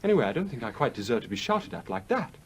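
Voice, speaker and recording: A man's voice: medium pitch, sing-song, racing, a little hiss or hum. An actor playing a smug, self-centred young man in a radio play, a pompous and wheedling son who patronises his mother. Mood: wounded, sanctimonious. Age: young adult. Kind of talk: British radio play acting.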